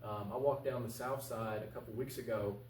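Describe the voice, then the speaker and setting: A man's voice: mid-range voice, steady tone, brisk, a little mellow. A man speaking informally as an interview guest and political candidate, earnest and plain-spoken. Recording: echoey, distant mic with faint noise.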